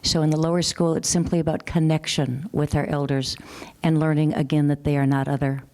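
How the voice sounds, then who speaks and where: A woman educator with a Minnesotan accent speaking on a panel, steady and matter-of-fact as she lists examples. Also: low voice, brisk. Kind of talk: panel discussion remarks. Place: conference session room.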